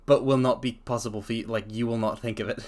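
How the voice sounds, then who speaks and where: A man's voice: mid-range voice, fairly even intonation, quick pace. Hesitant man doing a dramatic reading. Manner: hesitant, self-correcting, chatty asides. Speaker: streamer reading aloud. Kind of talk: live-streamed dramatic reading with commentary.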